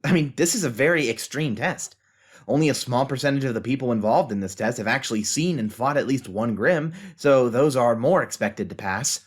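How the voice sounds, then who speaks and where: A man's voice: medium pitch, a little fast, breathy edge, natural voice. A man playing a thoughtful, self-doubting teenage novice fighter in an audio drama, earnestly reasoning things out. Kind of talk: fan audio drama acting.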